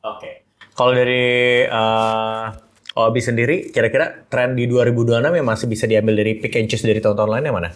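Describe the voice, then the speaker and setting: A man's voice: mid-range voice, fairly even intonation. Man hosting a casual podcast chat. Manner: curious, asks quick follow-up questions. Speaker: podcast host.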